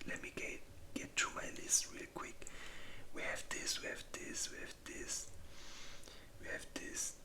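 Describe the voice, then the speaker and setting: An ASMR host speaking entirely in a breathy whisper, chatting casually and informally with rambling, hesitant pauses. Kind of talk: whispered ASMR movie ranking, casual chat.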